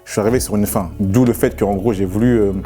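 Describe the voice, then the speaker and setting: A man's voice: fairly low pitch, fairly even intonation, quick pace, warm tone, faint music behind. A young man, a barber hosting the interview, asking casual questions in slangy French. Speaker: host, barber.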